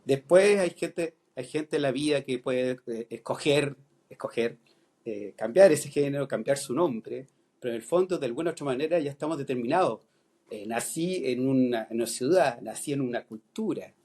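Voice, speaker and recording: A man's voice: medium pitch, expressive, normal speed, darkish tone, breathy edge. A middle-aged Chilean man with a Chilean accent, a philosophy teacher talking on a podcast, thoughtful and full of hesitations and restarts. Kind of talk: podcast conversation.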